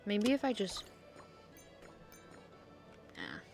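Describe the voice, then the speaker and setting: A woman's voice: medium pitch, wildly swooping pitch, extremely slow, a little mellow. Woman muttering through casual gameplay, music behind. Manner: muttering to self in short reactive remarks. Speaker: game streamer. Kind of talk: casual gameplay commentary, thinking aloud. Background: video game music.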